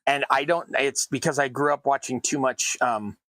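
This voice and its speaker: A man's voice: mid-range voice, fairly even intonation, normal speed, a bit bright, no echo. An American man chatting casually to his stream audience while building tabletop terrain, rambling and self-deprecating. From United States. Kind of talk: casual chat while crafting. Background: sounds of cutting at a worktable.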